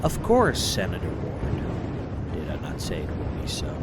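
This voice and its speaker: An actor voicing an arrogant, theatrical mad-scientist wizard in a comic-book dub, pompous and menacing. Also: male voice, low-pitched, wildly swooping pitch, measured pace, very noisy, heavily acted. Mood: smug. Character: arrogant, vengeful male mad-scientist wizard, obsessed with vindication.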